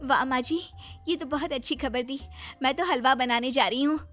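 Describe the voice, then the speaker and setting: A woman's voice: sits high, moderate pace, fairly bright tone, faint music behind. A woman voicing a devoted, affectionate young daughter-in-law in a cartoon story, sweet and earnest. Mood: relieved, sincere. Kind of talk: Hindi cartoon moral story acting.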